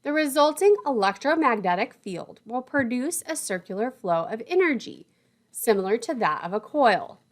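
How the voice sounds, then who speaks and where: Woman, instructional narrator, higher pitch, lively intonation, formal and precise, scripted lecture delivery. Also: normal speed.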